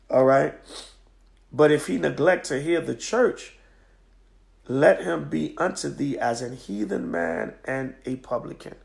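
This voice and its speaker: A man with a hoarse voice from a cold, teaching scripture in a livestream from home at night, emphatic and preacherly with frequent "all right?" checks. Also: medium pitch. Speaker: teacher of a religious group. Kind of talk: live-streamed scripture lesson. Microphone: phone on a stand.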